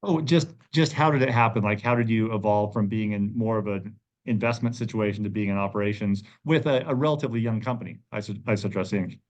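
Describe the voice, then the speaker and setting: A man's voice: fairly low pitch, fast. A middle-aged man hosting a podcast in a conversational interview style. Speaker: podcast host. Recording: dull, slightly lo-fi sound.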